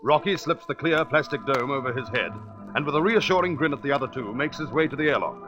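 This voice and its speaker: An actor serving as narrator of a radio space adventure, reading dramatic scripted narration. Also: male voice, mid-range voice, expressive, fast, muffled recording, over loud music, natural voice.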